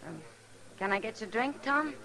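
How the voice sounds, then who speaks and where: A woman's voice: a little high, animated, moderate pace, bright, forceful tone, rough recording. An actress playing a caring, worldly saloon woman in a radio western, earnest and plain-spoken, pleading yet resigned. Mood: pleading, concerned. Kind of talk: old-time radio western acting.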